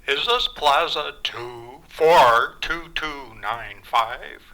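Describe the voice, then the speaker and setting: A man's voice: mid-range voice, extremely slow, pushed and bright, full character voice, rough recording. A man playing an impersonal telegraph clerk in a live 1940s-style radio play, formal and detached, reciting as if by rote. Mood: detached, official. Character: impersonal, businesslike telegraph company clerk reading out messages. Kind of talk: 1940s radio drama acting, performed live on stage.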